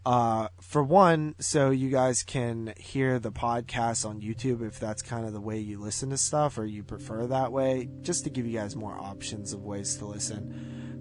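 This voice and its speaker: A male podcast host chats casually at a middle pitch, with guitar music clearly playing behind him. His delivery is informal and loosely phrased, rambling along as he addresses listeners.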